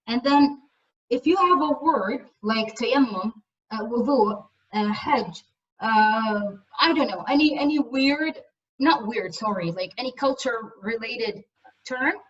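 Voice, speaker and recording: A woman lecturing in English, her first language Arabic, who keeps stopping and restarting her sentences. Her voice sits fairly high. The recording is muffled and she sounds well away from the microphone in a lecture room. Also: recorded from a distance. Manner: hesitant, many fillers and restarts. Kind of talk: university lecture on translation.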